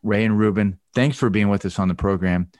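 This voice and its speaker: A man hosting an interview podcast, introducing guests and asking brisk, friendly questions. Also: on the low side, a little mellow, no room sound, studio quality. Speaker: podcast host.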